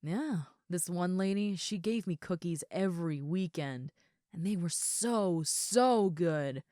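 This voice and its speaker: A woman playing a big, friendly ogre roommate in an audio roleplay, chatting casually and gently to the listener. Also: lowish voice, expressive, slow pace, no room sound, clean studio sound. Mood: warm, reassuring. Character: huge muscular red female ogre roommate, friendly and easygoing.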